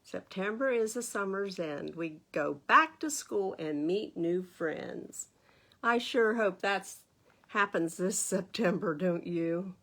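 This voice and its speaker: An American woman reads aloud to young children as a storytime host. Her pace is slow and unhurried, with a mid-range pitch. She talks in a teacherly way, checking in with her listeners through simple questions. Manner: animated teacherly asides, asking the listeners questions. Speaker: library storytime host reading a picture book.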